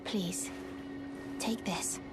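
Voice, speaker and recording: A soft-spoken, courteous servant of a noble house in a fantasy video game. She speaks slowly and formally in a breathy near-whisper. Music plays clearly behind her. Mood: grateful, reverent. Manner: formal, polite, measured. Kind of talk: video game character acting.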